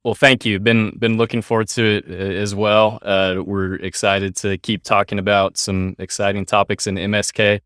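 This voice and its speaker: Upbeat low-voiced male podcast host. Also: flat delivery, normal speed, hardly any pauses, a bit bright, smooth, no echo, studio quality. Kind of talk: podcast hosting.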